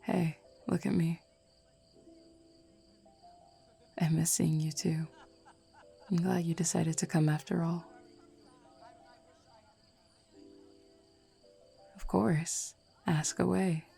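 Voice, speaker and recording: A woman voicing a flirty, self-assured female werewolf in an intimate roleplay audio, teasing softly and without hurry. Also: fairly low pitch, little pitch movement, unhurried, vocal fry, slightly rough, soft background music, full character voice. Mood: tender, teasing.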